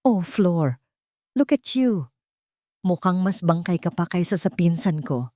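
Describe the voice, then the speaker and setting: A woman's voice: on the low side, lively intonation, unhurried, mellow, no room sound, studio quality. Woman voicing a sneering, haughty rich young woman. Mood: sarcastic. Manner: sneering, taunting. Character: haughty, sarcastic wealthy young woman, cruel in-law cousin.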